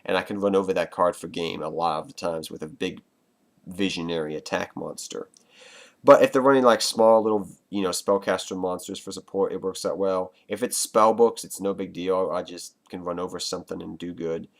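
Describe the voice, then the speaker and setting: Congested low-voiced man explaining cards. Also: steady tone, a little fast. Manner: chatty, loose, addresses viewers as guys. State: congested with a cold. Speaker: card game vlogger. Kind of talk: casual deck explanation to viewers.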